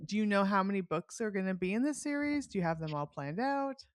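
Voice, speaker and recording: A woman's voice: medium pitch, brisk, no echo, studio quality. A middle-aged woman hosting a casual book-chat interview, talking in a chatty way, circling back mid-sentence and often laughing. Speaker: podcast host.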